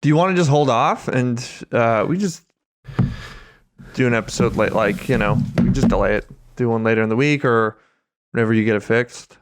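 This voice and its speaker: A man's voice: mid-range voice, normal speed, heavy background noise. A young man co-hosting a podcast, talking casually in an easygoing, reassuring and apologetic manner. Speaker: podcast co-host. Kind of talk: casual chat.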